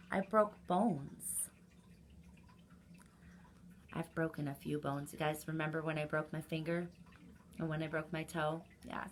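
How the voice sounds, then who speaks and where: A woman hosting a children's story time, reading aloud and chatting to young listeners directly, in an animated manner. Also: low voice, slight background noise.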